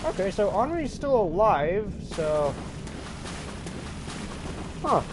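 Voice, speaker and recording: A man's voice: high voice, very animated, takes it slowly, background noise. A man giving casual, playful gameplay commentary, poking fun at himself and often exclaiming. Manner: playful, self-mocking, exclamatory. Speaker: video game streamer or let's player.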